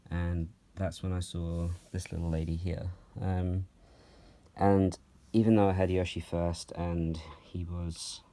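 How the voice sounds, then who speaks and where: Mellow low-voiced Norwegian-born man, unhurried. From Norway. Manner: calm, unhurried, frequent ums and soft laughs. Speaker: reptile keeper answering viewer questions. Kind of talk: relaxed Q&A vlog. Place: at home, handling snakes while filming.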